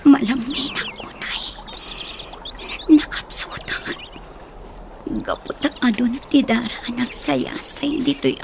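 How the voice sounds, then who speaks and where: A woman's voice: sits high, creaky, noisy background, full character voice. A woman with an Ilocano accent playing a frightened young fugitive in a radio drama, breathless, whispery and pleading. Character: frightened young woman fleeing armed group, Shalani.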